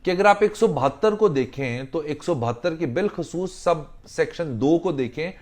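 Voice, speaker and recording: A man explaining legal rules in a deliberate way, mixing in English legal phrases. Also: a little high, expressive. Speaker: legal instructor.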